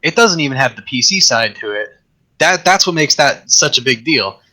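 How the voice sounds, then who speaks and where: A man chatting as a guest on a tech podcast, rambling with restarts, his voice getting raspy. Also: mid-range voice, expressive, normal speed, pushed and bright. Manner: rambling, many restarts and fillers. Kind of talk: casual tech chat.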